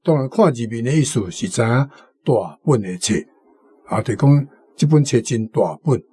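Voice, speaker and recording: A man, a guest commentator, casually explaining word meanings in a relaxed, musing way with fillers. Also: mid-range voice, normal speed.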